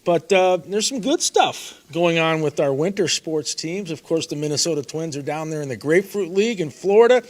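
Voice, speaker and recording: A man's voice: a little high, lively intonation, moderate pace, hardly any pauses. A man co-hosting a casual sports talk show, giving folksy, opinionated takes.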